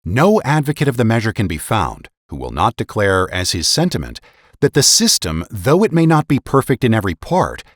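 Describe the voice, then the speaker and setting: A man's voice: fairly low pitch, wildly swooping pitch, a little fast, dry recording, studio quality. A man giving a dramatic reading of historical political essays in a formal, emphatic, oratorical manner. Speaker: podcast host and narrator.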